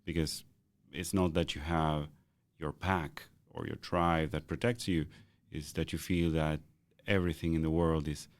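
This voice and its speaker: Deep booming man, thoughtful and halting. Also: fairly even intonation, no room sound. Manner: thoughtful, halting with repeated starts. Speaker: podcast co-host. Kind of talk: reflective conversational chat.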